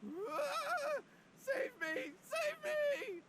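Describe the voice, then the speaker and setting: A man's voice: very high voice, very animated, drawn-out words, very noisy, full character voice. An actor playing a frightened, evasive young village man in a video game, with a stammering, pleading manner. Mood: terrified. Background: game music.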